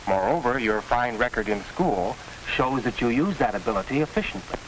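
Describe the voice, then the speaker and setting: A man acting as a reassuring American school counselor in a 1940s educational film. His medium-pitched voice is formal and deliberate, explaining step by step. Clear hiss covers the old soundtrack. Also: lively intonation, natural voice, poor audio. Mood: measured, reassuring. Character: measured, reassuring male school vocational counselor.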